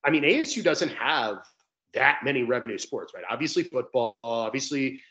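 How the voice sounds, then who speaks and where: A young man talks as an interview guest on a video call, in an average-pitched voice. He is earnest and emphatic, making his case conversationally with rhetorical questions. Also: animated, moderate pace, pushed and bright. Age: young adult. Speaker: sports radio play-by-play broadcaster, guest. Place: indoor room at home, video call. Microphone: video call, close to webcam.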